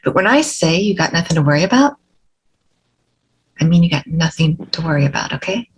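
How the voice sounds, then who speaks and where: A woman acting a brash, foul-mouthed but doting mother in a dramatic table read, with a husky voice. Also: sits low, expressive, fairly quick, a little hiss or hum, low-quality recording. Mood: apologetic, reassuring.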